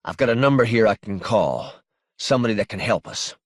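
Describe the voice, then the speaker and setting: A man's voice: fairly low pitch, expressive, a little fast, no room sound, studio quality. A man voicing a stubborn, laconic working-class fugitive in an audiobook, terse and guarded. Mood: guarded, insistent.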